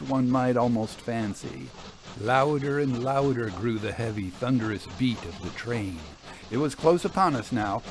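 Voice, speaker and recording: A man reading an old-fashioned ghost story in a deliberate, theatrical, suspenseful way, with dramatic pauses. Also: medium pitch, low-quality recording. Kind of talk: dramatic audiobook narration of a Victorian ghost story.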